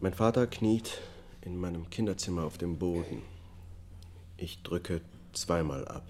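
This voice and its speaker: An actor playing a haunted, detached murder defendant confessing in a radio drama. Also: male voice, low voice, unhurried, a little mellow, a little hiss or hum. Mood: subdued, haunted. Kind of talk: German public radio drama acting, confessional testimony.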